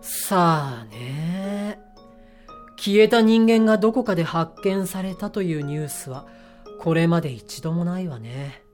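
A woman plays a sharp-tongued leader of a secret mission in an audio drama. The voice sits on the low side and the delivery is assertive and commanding, with feminine turns of phrase. Faint music plays behind. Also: lively intonation, a little slow. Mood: grave, explanatory.

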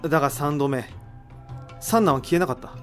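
A man's voice: on the high side, normal speed, soft background music, lightly acted. A man acting in an audio drama as an analytical figure who reasons out a mystery in explanatory speech. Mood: explanatory, assured. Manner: explanatory, rhetorical questioning. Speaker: voice actor in an amateur audio drama. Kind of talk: dramatic dialogue, explaining deductions.

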